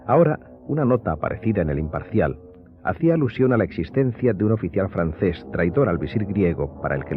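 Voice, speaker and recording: A man narrating a radio serial in a scripted, dramatic and measured storytelling style. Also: sits low, narrow, tinny audio.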